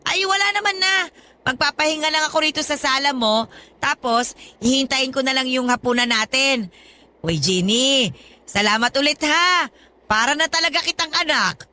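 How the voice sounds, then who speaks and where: Older freeloading godmother, high bright voice, pushy, wheedling; radio drama. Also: animated, normal speed.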